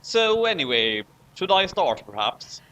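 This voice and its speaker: A man's voice: fairly high pitch, sing-song, a little slow, pushed and bright, lo-fi sound. A man co-hosting a dramatic fanfic reading, theatrical and over-acted, with casual chat in between.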